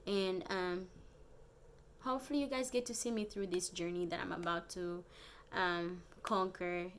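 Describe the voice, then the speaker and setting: A young woman from Africa with an African accent, a native Bemba speaker, chatting casually and earnestly to viewers in a vlog, haltingly and often repeating phrases. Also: fairly low pitch, a little hiss or hum. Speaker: vlogger. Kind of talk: casual personal vlog chat.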